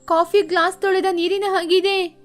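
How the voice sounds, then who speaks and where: A woman voicing chatty, thrifty female village birds in an expressive children's cartoon style. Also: extremely high pitch, moderate pace, faint music behind.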